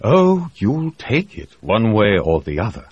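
A man's voice: on the low side, wildly swooping pitch, measured pace, a little mellow, husky, highs cut off, voice pushed up, full character voice. A man voicing a sly, leering English ship's doctor who talks in a smug, teasing way. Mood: mock-serious. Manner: smug, teasing, with a crooked grin.

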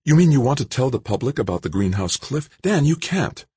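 A man's voice: mid-range voice, big pitch swings, brisk, mellow, breathy edge, dry recording, voice pushed up. A man voicing a worried grown woman who pleads earnestly, in an audiobook. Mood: pleading. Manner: anxious, pleading.

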